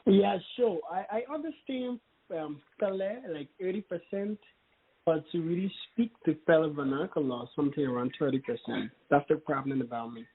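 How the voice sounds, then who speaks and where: Guest: young man from Liberia, husky voice, earnest and halting, on a dry, tinny call line. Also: fairly high pitch. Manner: earnest, hesitant, many fillers. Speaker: interview guest. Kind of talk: casual interview. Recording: dry, phone-like call audio.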